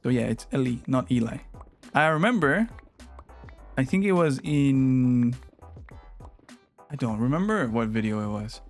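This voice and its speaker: A man's voice: medium pitch, measured pace, long pauses, soft background music. A young man whose first language is Spanish, chatting casually and playfully with his live-stream chat. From Latin America. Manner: animated, playful, teasing with chat.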